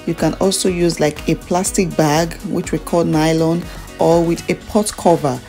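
Casting: Nigerian-accented woman, lower-pitched voice, chatty food vlogger walking through a recipe over background music. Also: steady tone, normal speed, dark tone. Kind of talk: casual cooking tutorial narration.

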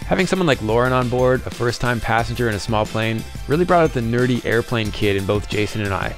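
A man's voice: mid-range voice, animated, quick pace. A man hosting a flying vlog, reading scripted narration in an enthusiastic manner. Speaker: vlog host and student pilot. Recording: music under the voice. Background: music.

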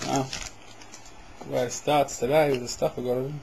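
A man talks casually while opening trading card packs, with a mid-range voice. He gets excitable and loud at good pulls. There's a faint hiss on the camera-mic recording. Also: mid-range voice, brisk, gravelly. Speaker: card box breaker. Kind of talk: casual running commentary. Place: indoors at a table.

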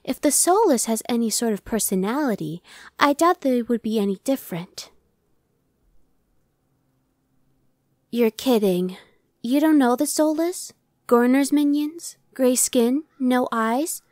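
A woman's voice: a little high, lively intonation, a little slow, darkish tone, no room sound, studio quality. A woman voicing an impatient, sardonic female demon scout in an ASMR roleplay, talking in a conversational, dismissive way. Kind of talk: ASMR roleplay, one-sided dialogue.

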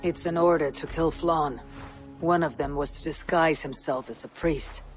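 A woman's voice: sits low, fairly even intonation, moderate pace, faint music behind, lightly acted. An actress playing a stoic, blunt Viking warrior woman in a game cutscene, speaking in a terse, dry, matter-of-fact way. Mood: grave, measured.

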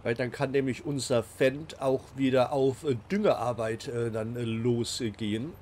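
A man's voice: mid-range voice, a little slow, darkish tone, slight background noise. A man with a cold, hosting a casual let's play and talking along with many fillers and restarts. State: has a cold. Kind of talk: casual game commentary.

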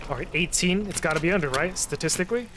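A man giving casual let's-play commentary on a horror game, talking to himself, repeating phrases and getting excitable when startled. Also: high-pitched, fast, background noise. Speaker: host, gamer. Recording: loud game soundtrack under the voice. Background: loud horror game music and sound.

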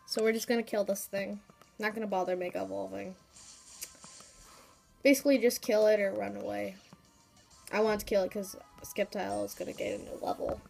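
A woman's voice: medium pitch, steady tone, fairly quick, creaky, long pauses, darkish tone, faint music behind. Chatty woman with a cold, game walkthrough. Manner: chatty, rambling with fillers and asides. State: has a cold, sniffling. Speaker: gaming YouTuber. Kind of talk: casual game walkthrough commentary.

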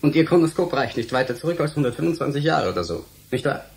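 A man's voice: fairly high pitch, animated, fast, gravelly, slight background noise. An actor playing a defiant, principled young scientist in a German radio play, insistent and articulate in his challenges. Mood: challenging. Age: young adult. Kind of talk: German radio drama acting.

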